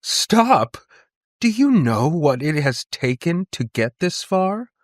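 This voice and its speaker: A man's voice: a little high, sing-song, slow pace, dry recording, clean studio sound, pitched above the performer's own voice. A man voicing a driven, emotional woman inventor in an audiobook, arguing with passion and defiance. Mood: defiant. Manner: impassioned, argumentative.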